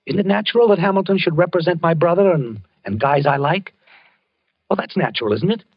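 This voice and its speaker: An actor in an old-time radio drama playing a tormented, obsessive husband who talks haltingly and repeats himself. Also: male voice, a little high, slightly rough, no echo. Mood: troubled, brooding. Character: tormented husband haunted by dreams of a duel. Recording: muffled vintage radio recording, dry.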